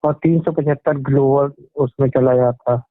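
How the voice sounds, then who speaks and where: A man's voice: mid-range voice, steady tone, moderate pace, no room sound. A man on a phone call, hesitantly summing up what he was told in plain words. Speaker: caller being pitched to. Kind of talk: phone conversation. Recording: tinny phone line, dry.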